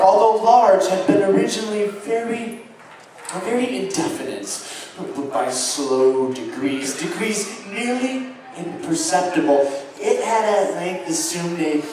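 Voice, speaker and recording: A man playing a haunted, unhinged murderer confessing his crime in a halting, theatrical dramatic stage reading of gothic horror. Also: a little high. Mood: brooding dread. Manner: halting, theatrical, swinging from hushed to agitated. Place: live show at an amusement park, far from microphone. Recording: very echoey, distant live recording, faint noise.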